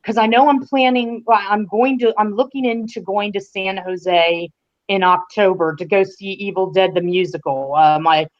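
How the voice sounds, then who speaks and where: A woman's voice: medium pitch, steady tone, moderate pace. Casual woman guest, slightly dull audio. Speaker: podcast guest, fan-site writer. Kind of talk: casual informative chat.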